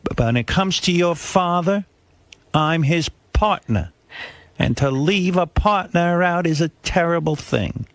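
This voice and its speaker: A man voicing an aggrieved, self-pitying older mother who guilt-trips in a drawn-out, martyred way. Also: on the high side, dry recording, pitched above the performer's own voice.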